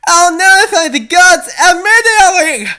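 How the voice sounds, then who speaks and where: Male robot servant, voiced by a woman: formal, attentive, pushy, exaggerated swoops in a bright, raised voice. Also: very high voice, animated, normal speed, voice pushed up, exaggerated voice. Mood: urgent.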